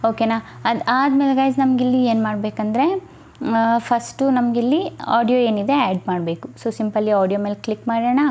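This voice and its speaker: A woman's voice: on the high side, moderate pace, a little mellow. A woman giving a casual step-by-step tutorial, often checking in with her listeners. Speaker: tutorial host.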